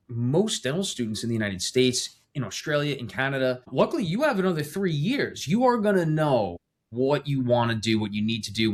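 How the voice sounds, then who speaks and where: A man in his thirties, an oral surgeon hosting a podcast, talking casually and encouragingly. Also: medium pitch.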